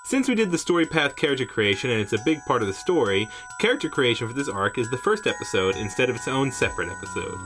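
A man acting as game master for a tabletop game, explaining the rules casually with lots of fillers and quick laughs. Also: medium pitch, over music. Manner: lively, laughs easily, many fillers. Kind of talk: running a tabletop game session.

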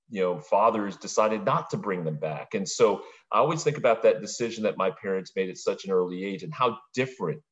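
A man's voice: low-pitched, lo-fi sound. A middle-aged man from Brooklyn, a podcast interview guest, talking reflectively in long, winding sentences. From Brooklyn, New York.

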